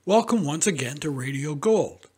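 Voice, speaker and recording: Husky male radio host. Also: a little high, animated, moderate pace, a little mellow. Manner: playful alliterative delivery. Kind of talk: scripted show introduction.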